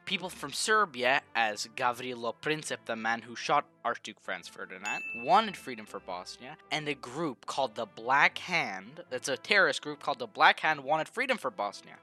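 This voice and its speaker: Bright-voiced man telling jokey history, faint music. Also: medium pitch. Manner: jokey, slangy, irreverent. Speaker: host of a history video series. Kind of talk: informal comedic history narration.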